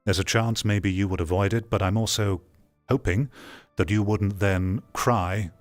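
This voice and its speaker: A man's voice: fairly low pitch, steady tone, a little slow, faint music behind. A man giving rambling, opinionated livestream commentary as he reads and answers chat. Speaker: streamer.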